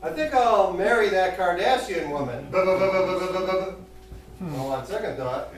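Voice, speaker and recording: A man performing an open-mic stand-up set at a small cafe, delivering deadpan, self-mocking one-liners to an audience that laughs. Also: high voice, unhurried. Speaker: stand-up comedian. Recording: echoey room recorded from a distance.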